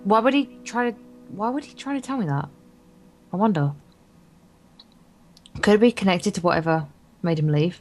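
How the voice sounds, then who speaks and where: A woman's voice: mid-range voice, expressive, normal speed, long pauses, a little mellow, soft background music. A woman co-hosting a let's play, reading visual novel narration aloud with casual asides.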